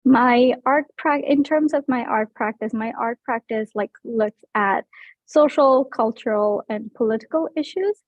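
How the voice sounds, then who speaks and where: A woman's voice: on the high side, measured pace, no echo. A young woman artist speaking earnestly at an art show about her practice, with many fillers. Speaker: visual artist. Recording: thin phone-like sound.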